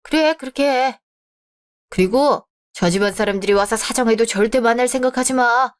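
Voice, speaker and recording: A woman's voice: on the high side, moderate pace, lightly acted. A woman voicing a stern, protective middle-aged father in a radio drama who speaks curtly.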